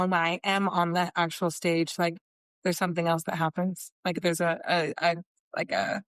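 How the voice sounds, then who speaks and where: Lady cohost just chatting, memories, kinda low voice. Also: clean tone, no echo. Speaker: podcast co-host. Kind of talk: casual chat.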